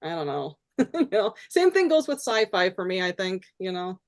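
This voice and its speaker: A woman guest in a casual interview, speaking haltingly with frequent restarts and fillers. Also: mid-range voice, sing-song.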